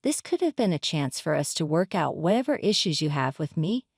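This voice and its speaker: Female narrator as hurt, indignant wife, pleading yet confrontational, animated intonation, dry story recording. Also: low voice, a little fast, husky, no room sound, pitched below the performer's own voice, heavily acted. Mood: hurt, demanding. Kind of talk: dramatized story reading.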